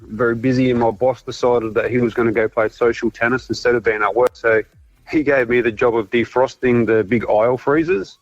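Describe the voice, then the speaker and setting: A man's voice: mid-range voice, steady tone, brisk, no echo. A man calling a radio show, telling a casual anecdote. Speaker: radio caller. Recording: slightly muffled phone-in recording.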